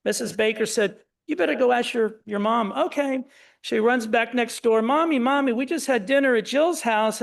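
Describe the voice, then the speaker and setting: A middle-aged man from Indiana giving a public talk, retelling family history in short conversational phrases. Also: very high-pitched. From Indiana, USA. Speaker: speaker at a Holocaust education program. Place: synagogue hall. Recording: echoey hall.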